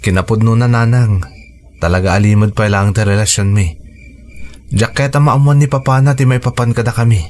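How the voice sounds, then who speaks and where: A man's voice: on the low side, brisk, darkish tone, lightly acted. A man acting an earnest, devoted young son. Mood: earnest, resolute. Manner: earnest, respectful. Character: devoted, respectful young son in love, quietly resolute. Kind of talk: radio drama acting.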